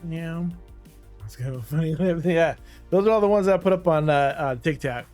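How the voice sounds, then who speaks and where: A man's voice: a little high, animated, moderate pace, a little mellow, faint music behind. A man in his thirties, a streamer in casual chat while gaming, laid-back, laughing often and trailing off mid-sentence.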